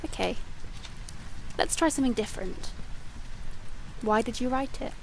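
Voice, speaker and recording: A woman plays a strange, newly freed female visitor in an audio drama. Her fairly high voice is blunt and insistent, impatient for answers, with faint rain-like noise behind it. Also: expressive, moderate pace, vocal fry, rough recording. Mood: probing. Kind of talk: dramatic audio fiction dialogue.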